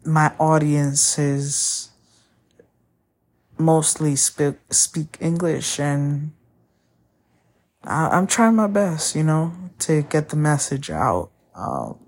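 A woman whose first language is Spanish, hosting a podcast and talking candidly about a breakup, often pausing to find her words. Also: low voice, expressive, darkish tone. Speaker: podcast host. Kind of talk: personal monologue.

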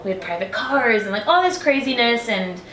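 A woman's voice: on the high side, expressive, a little fast, fairly bright tone. Chatty young woman in an echoey room. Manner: chatty, full of "like" and acted-out quotes. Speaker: singer being interviewed. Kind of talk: casual interview chat.

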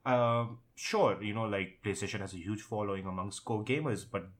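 A man's voice: on the low side, normal speed. A man co-hosting a casual discussion about tech and gaming, speaking in an opinionated, emphatic way. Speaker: podcast co-host.

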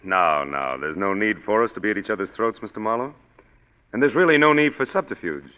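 An actor playing a smooth, condescending male psychic consultant in a 1940s radio drama, heard on a dull old broadcast recording with hall reverb. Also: lowish voice, expressive, fairly quick, sharp tone. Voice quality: smooth, pompous.

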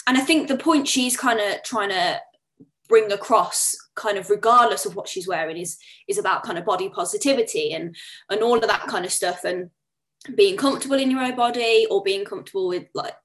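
A woman's voice: medium pitch, brisk, a bit bright. A young woman with a Birmingham accent, co-hosting a podcast in casual chat, chatty with many fillers. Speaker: podcast co-host.

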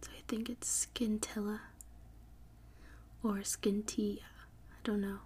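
A woman podcast host whispering softly in an ASMR style, breathy and unhurried, reading out and repeating words. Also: mid-range voice, little pitch movement. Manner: soft, unhurried, repeats and spells words slowly.

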